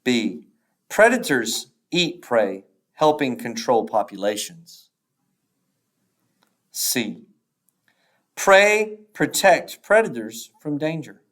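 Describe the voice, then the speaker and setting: A man reading test questions and answer choices aloud like a teacher, in a measured, deliberate way with pauses after each option. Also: mid-range voice, big pitch swings, a bit bright.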